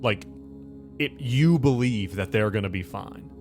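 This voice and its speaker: A man's voice: lowish voice, expressive, measured pace. A man acting as game master, narrating a tabletop game in a casual, conversational and sometimes profane way. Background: music.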